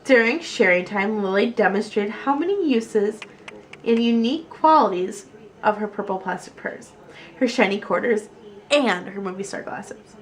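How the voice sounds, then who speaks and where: A woman reading a children's picture book aloud in an animated storytelling style. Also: mid-range voice, moderate pace.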